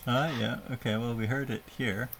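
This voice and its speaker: A man's voice: medium pitch, fairly even intonation, moderate pace, gravelly, a bit bright, slight background noise. A man hosting a live show, chatting casually and poking fun at himself as he welcomes guests. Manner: chatty and self-deprecating, with laughs and filler. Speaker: podcast host.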